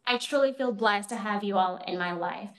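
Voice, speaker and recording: A woman's voice: medium pitch, moderate pace, a bit bright. A young woman with a Kenyan accent, giving a thank-you speech at her thesis defense to a room with an audience and laughing often between phrases. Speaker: PhD candidate.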